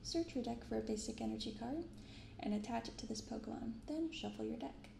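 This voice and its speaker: A young woman talks in a soft, gentle ASMR manner with a good deal of vocal fry. She chats casually and takes her time. The binaural recording sounds roomy, with clear background noise. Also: on the high side. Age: 20s. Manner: soft-spoken, gentle, unhurried. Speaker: host of a card-opening ASMR channel. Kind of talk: soft-spoken ASMR, casual unboxing chat.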